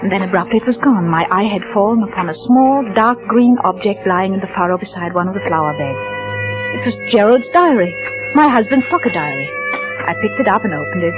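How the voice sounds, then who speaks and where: Give me an actress as a suspicious young wife narrating, hesitant, on a muffled vintage radio recording with music. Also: female voice, mid-range voice, expressive, fairly quick, natural voice. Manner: hesitant, breathy restarts. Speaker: narrator and lead character. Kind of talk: 1940s radio acting. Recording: dull lo-fi 1940s radio with music.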